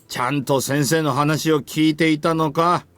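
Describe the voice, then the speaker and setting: An actor playing a stern, exasperated history teacher in a visual novel, with a scolding, authoritative manner. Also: male voice, fairly high pitch, fairly even intonation, brisk, fairly bright tone, dry recording, close to an everyday voice. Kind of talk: Japanese visual novel voice acting.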